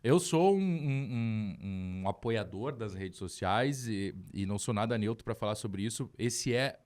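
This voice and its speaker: A man, hosting a podcast and asking curious questions in a relaxed way. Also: fairly low pitch, unhurried. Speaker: podcast host. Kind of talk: casual interview chat.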